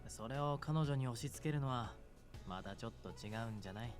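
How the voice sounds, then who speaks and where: Actor as a fast-talking, easygoing young man. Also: medium pitch, faint music behind, natural voice. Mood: agreeable, coaxing. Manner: light, casual, coaxing. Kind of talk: Japanese visual novel voice acting.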